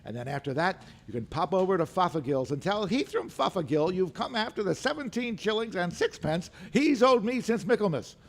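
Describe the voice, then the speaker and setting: A grim old miser in an old-time radio drama. The voice is creaky and fairly high, swinging widely as he barks and grumbles with scorn. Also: expressive, brisk, few pauses. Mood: stern, irritated. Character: grim, miserly, bad-tempered old businessman.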